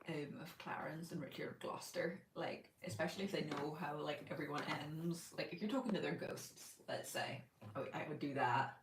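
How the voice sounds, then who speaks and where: A woman's voice: deep voice, reverberant, recorded from a distance, slight background noise, low-quality recording. A woman from Northern Ireland, raised in Spain, hosting a casual book-channel livestream from home and thinking aloud with plenty of fillers. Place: home room by her bookshelf.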